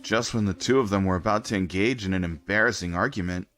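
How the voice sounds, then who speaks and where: A man's voice: low voice, fast, a bit bright, soft background music. A man reading scripted first-person story narration for a comic dub.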